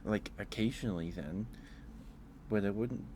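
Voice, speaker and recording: A man's voice: fairly low pitch, measured pace, a little mellow, slight background noise. A man chatting casually with livestream viewers as host, musing aloud in an informal, hesitant way.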